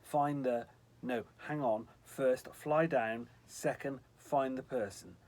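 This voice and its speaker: A man's voice: mid-range voice, takes it slowly, a little mellow, close to an everyday voice. A man voicing a flustered, muddled snow angel from a storybook, muttering and correcting himself, easily startled. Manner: muttering, hesitant, self-correcting.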